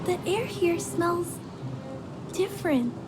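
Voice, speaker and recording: A woman voicing a timid, wondering young child in a video game, hesitant and drawn-out. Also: very high-pitched, animated, heavily acted. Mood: awed. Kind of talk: video game cutscene acting. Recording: music behind the voice.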